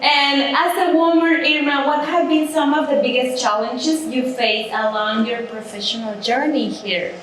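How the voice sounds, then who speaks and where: Halting woman host, echoey. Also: high voice, steady tone, fairly bright tone, a little hiss or hum. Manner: halting, searching for words. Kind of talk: casual interview questions. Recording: very echoey room, faint ambience.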